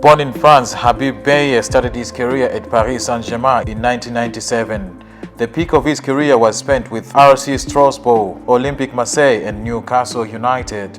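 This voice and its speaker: A man narrating a scripted countdown of football players in an even, list-like reading. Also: medium pitch.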